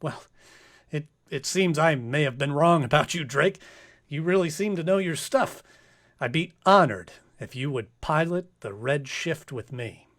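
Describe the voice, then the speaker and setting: Space comedy captain, male actor: high register, very lively intonation, earnest, theatrical, easily flustered. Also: unhurried. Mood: humbled, gracious. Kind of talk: comic radio play acting.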